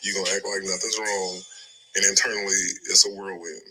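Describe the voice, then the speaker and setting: A man's voice: deep voice, little pitch movement, fairly quick, bright, forceful tone, low-quality recording. A middle-aged man on a reality show, speaking candidly and reflectively in conversation. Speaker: reality show cast member, husband. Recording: music under TV show clip. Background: background music.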